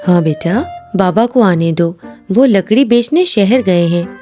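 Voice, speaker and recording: Woman acting a worried mother, lower register. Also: expressive, a little slow, warm tone, soft background music, lightly acted. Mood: soothing. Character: worried mother, woodcutter's poor wife. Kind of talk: cartoon storybook acting.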